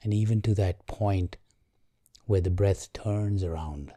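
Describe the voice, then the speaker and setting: Deep mellow guy doing a guided meditation, calm and gentle, really slow w long pauses. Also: no echo.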